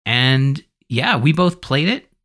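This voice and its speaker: A man hosting a podcast in casual conversation, talking in an animated, rambling way as he thinks aloud. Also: mid-range voice, takes it slowly. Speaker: podcast host.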